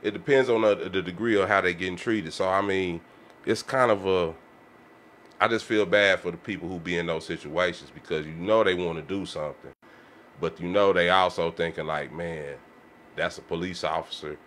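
A man's voice: low voice, fairly even intonation, moderate pace, fairly bright tone, a little hiss or hum. A man talking casually in a round-table discussion, colloquial and repeating himself for emphasis. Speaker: podcast panelist. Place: small home-style podcast studio. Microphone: podcast microphone on boom arm.

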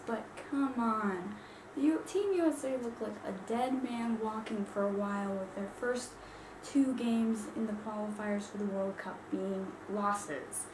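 A young woman who grew up in Zambia, reacting casually to football highlights and exclaiming often as a reaction video host. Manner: excitable, exclaims often, laughs easily. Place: small bedroom indoors. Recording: echoey room, distant mic, loud hiss.

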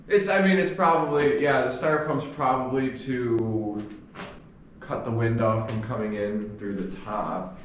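A man hosts a hiking vlog in a casual, explanatory way. His voice sits at a middle pitch. He is recorded on a handheld camera out in the field, with some light noise around him. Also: a little slow. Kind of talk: casual outdoor vlog narration. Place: outdoors in bare woods and inside an open wooden shelter. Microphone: handheld 360 camera. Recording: noisy outdoor camera audio.

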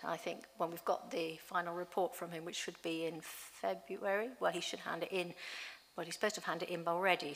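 Woman council officer, fairly low voice, businesslike explaining, reverberant hall. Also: fairly bright tone.

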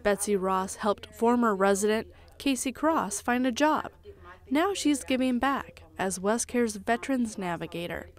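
Woman reporting news in measured tones. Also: fairly high pitch, expressive. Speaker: television reporter. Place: television studio.

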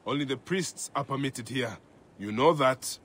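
An actor playing a calm, steady male warrior protagonist in a video game who speaks firmly. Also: mid-range voice, breathy edge. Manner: calm, firm, measured.

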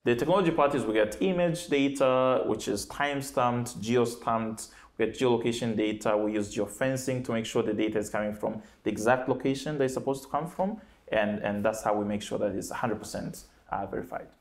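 A man's voice: medium pitch, fairly quick, hardly any pauses. A young man, a startup founder, answering questions in an office, with frequent restarts and fillers, on a lapel mic. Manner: hesitant, many restarts and fillers. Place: bright office with plants.

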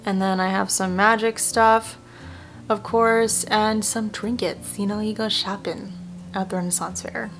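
Young female vlogger, casual chatty narration, slightly worn voice, mid pitch, faint background game music. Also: steady tone, measured pace, a bit bright. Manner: chatty, rambling, often drops to a near whisper. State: voice a little worn. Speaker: video creator. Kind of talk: casual game tour voice-over.

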